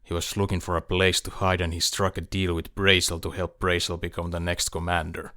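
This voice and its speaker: A man acting a loyal military officer in an audiobook, briefing a superior in a measured, matter-of-fact way. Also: low voice, level tone, bright, forceful tone, dry recording, clean studio sound. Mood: dutiful, factual. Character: loyal male military officer reporting to his commander. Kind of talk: fan audiobook character acting.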